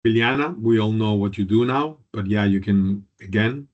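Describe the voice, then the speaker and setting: A man teaching an online business class, halting and repeating words as he calls on students. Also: lowish voice. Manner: halting, repeats words, drops into Spanish and Italian. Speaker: instructor. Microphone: video call. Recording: muffled video call audio.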